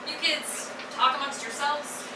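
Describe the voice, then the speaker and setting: Lady playing ditzy showy teacher in a musical, high voice, echoey and far from mic. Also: fairly even intonation, unhurried. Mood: flustered authority. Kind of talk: theatrical staged musical reading. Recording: roomy distant stage recording, loud hiss.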